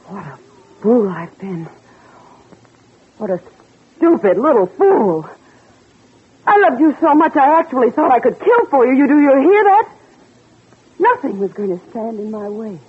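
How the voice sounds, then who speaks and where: Desperate melodramatic jilted mistress, old radio. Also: female voice, on the high side, sing-song, measured pace, pauses often, slightly rough, exaggerated voice. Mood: wounded, desperate. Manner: melodramatic, pleading. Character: desperate, clinging jilted mistress turning vengeful. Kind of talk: 1940s radio drama acting. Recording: muffled, hazy vintage radio recording.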